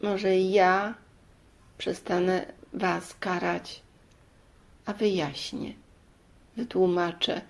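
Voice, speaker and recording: A woman's voice: lowish voice, flat delivery, extremely slow, gravelly, long pauses, full character voice. A woman playing a sturdy village mother in a children's tale, patient, loving and firm, explaining earnestly. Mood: gentle explaining.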